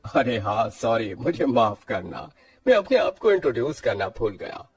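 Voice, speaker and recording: A man's voice: mid-range voice, big pitch swings, moderate pace, husky, faint music behind. A man voicing a cheerful magical talking air conditioner in a cartoon story, friendly, theatrical and boastful. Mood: friendly, grateful. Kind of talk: Hindi cartoon story acting.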